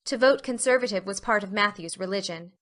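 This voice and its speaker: A woman's voice: mid-range voice, brisk, a bit bright. A woman reading scripted narration for an audiobook story.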